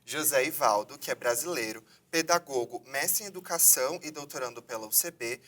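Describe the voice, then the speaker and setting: A man's voice: mid-range voice, fairly quick, a bit bright, recorded from a distance. A man hosting a university videocast from a theater, reading formal introductions. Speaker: host.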